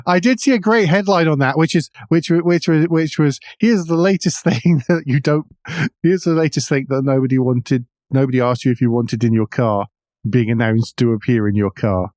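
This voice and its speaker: A man's voice: mid-range voice, normal speed, darkish tone, no echo, studio quality. A middle-aged man co-hosting a podcast, chatting casually and wryly about the news. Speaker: podcast co-host. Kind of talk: casual discussion of car news.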